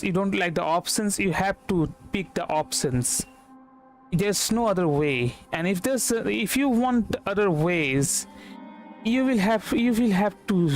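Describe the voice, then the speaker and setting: A male game streamer talks casually in a high-pitched voice. He reasons aloud haltingly and repeats phrases, with faint music in the background. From India.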